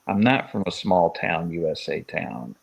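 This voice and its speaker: A man's voice: low-pitched, animated, a little slow, creaky, slightly rough, rough recording. A man in his forties from Kentucky, co-hosting a casual live-stream chat and rambling with many restarts. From Louisville, Kentucky, USA. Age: 40s. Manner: rambling, stop-start phrasing with many restarts. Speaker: podcast co-host.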